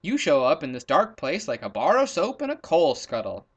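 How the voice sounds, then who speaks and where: A man's voice: fairly high pitch, huge pitch swings, normal speed, breathy edge, exaggerated voice. Guy doing a cheeky sly zebra from a kids book, taunting, voice jumps all over. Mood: triumphant, taunting. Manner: exclamatory, taunting. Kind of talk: storybook character voice in a read-aloud.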